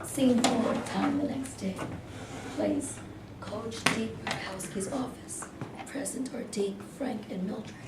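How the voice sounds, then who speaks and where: A woman's voice: on the high side, slow. A woman giving scripted narration of scene headings and stage directions at a staged reading. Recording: echoey room, distant mic, noisy background.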